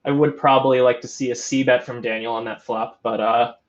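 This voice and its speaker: A man's voice: medium pitch, steady tone, fairly quick, lo-fi sound. A man hosting a live poker stream, commentating in a chatty, musing way with frequent restarts.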